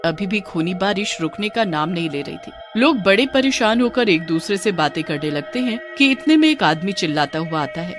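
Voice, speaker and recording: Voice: medium pitch, lively intonation, moderate pace, hardly any pauses. A storyteller giving scripted narration of a cartoon horror tale. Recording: music behind the narration.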